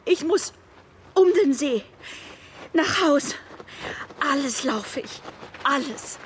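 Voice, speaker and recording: A woman's voice: high voice, expressive, slow pace, noisy background. An actress playing a frightened, desperate young woman in a radio drama, pleading in panic and repeating herself. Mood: panicked refusal.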